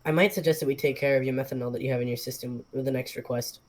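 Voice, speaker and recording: A man voicing a calm, wise talking snake. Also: mid-range voice, lightly acted. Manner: slow, measured, matter-of-fact.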